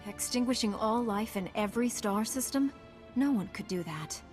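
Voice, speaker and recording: A woman's voice: a little high, expressive, normal speed, close to an everyday voice. Resolute woman playing a Jedi knight, faint music. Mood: serious, steady. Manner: direct, businesslike. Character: resolute female Jedi knight, firm and guarded. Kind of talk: video game cutscene acting.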